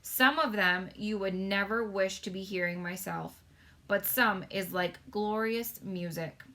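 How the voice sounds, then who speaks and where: A woman's voice: mid-range voice, measured pace, sharp tone. A woman voicing an earnest, touchy giant man in a storybook, speaking emphatically with folksy, muddled grammar. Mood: excited. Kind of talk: storybook read-aloud character voice.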